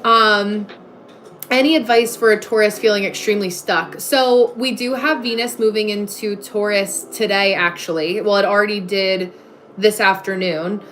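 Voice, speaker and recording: A woman's voice: mid-range voice, steady tone, moderate pace, a bit bright, slight background noise. A woman hosting a livestream in a casual, chatty way, replying to comments and breaking off to greet viewers. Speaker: livestream host. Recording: music playing behind the voice. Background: music.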